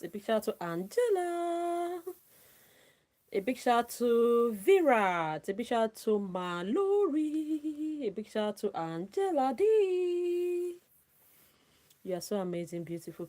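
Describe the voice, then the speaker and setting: A woman's voice: lowish voice, dark tone. A woman chatting casually as a live streamer, friendly and effusive with her listeners. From Nigeria. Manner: effusive, friendly, often repeats thanks and calls out names. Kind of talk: casual live-stream chat with shout-outs.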